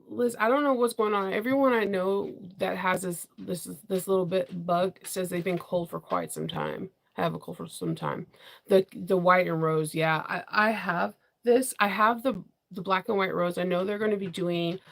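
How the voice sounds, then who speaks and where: Congested woman host, chatty, rambling, gravelly edge. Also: lowish voice. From Washington DC area. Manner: chatty, rambling, laughs often. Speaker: sewing channel host. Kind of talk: casual live chat with viewers.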